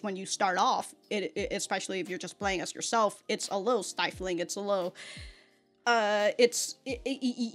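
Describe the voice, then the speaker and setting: A woman hosting a casual reaction video, talking in an exaggerated, rambling way with stammered repeats and frequent swearing. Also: medium pitch. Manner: self-described exaggerated on-camera delivery. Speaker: YouTube reaction host.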